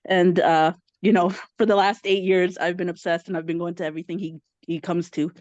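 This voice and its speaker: Mexican woman, 30s, chatty and excited, lots of um and uh, muffled dry zoom audio. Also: lowish voice, brisk, no echo. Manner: eager, chatty, many fillers. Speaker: caller. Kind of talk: casual chat. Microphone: video call.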